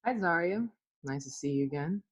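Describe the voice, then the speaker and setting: A woman playing a dry, curt gallery owner who is coolly cutting, with a husky voice, in a comedic script read. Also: sits low, animated, moderate pace.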